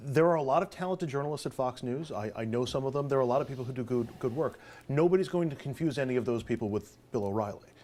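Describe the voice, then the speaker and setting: A man's voice: medium pitch, lively intonation, fast talker, warm tone. An American man giving analytical commentary as a panelist, reasoning point by point and repeating for emphasis.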